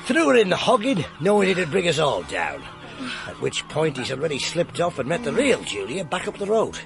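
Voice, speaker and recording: Radio drama detective: middle-aged, English, gravelly, wry copper with widely swinging delivery. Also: male voice, a little high, wildly swooping pitch, normal speed, over music, exaggerated voice. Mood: grim, businesslike. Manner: dry, clipped, colloquial copper's talk. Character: gruff middle-aged male police detective inspector, wry and world-weary. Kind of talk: BBC radio drama acting.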